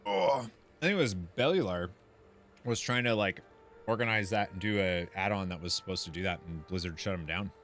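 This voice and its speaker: A man's voice: low-pitched, brisk, fairly bright tone. A man giving casual commentary while playing a fantasy game and reading quest text aloud. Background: game music.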